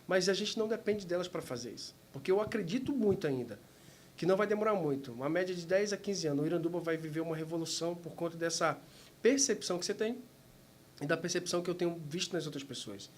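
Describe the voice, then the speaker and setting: A man from Manaus in Brazil hosting a podcast, speaking in long, measured, motivational sentences. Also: on the high side, lively intonation, slight background noise. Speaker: podcast host. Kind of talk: interview hosting, reflective monologue.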